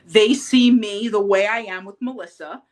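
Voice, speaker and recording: A woman's voice: mid-range voice, moderate pace, a crowd in the background. A woman guest in casual interview chat, telling her story with lots of laughs and acted-out quotes. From Puerto Rican heritage. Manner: animated storyteller, acts out quotes, laughs often. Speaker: podcast guest.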